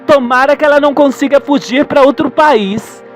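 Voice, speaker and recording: An earnest, worried grown daughter, played by a man in a radio soap. The voice sits very high and sounds insistent and sensible, over faint music. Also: normal speed.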